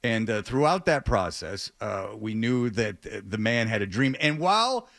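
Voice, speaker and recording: A man hosting a radio talk show, speaking off the cuff in a halting way with stammers and repeated words. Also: lowish voice, very animated, creaky, a bit bright, no echo, clean studio sound. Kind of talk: unscripted radio talk show monologue and interview. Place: radio studio.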